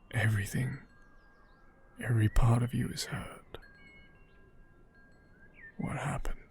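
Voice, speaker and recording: A man acting a gentle, caring doll owner in a soft ASMR roleplay, speaking tenderly and haltingly, often in whispers. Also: lowish voice, slight background noise. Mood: shocked, pitying.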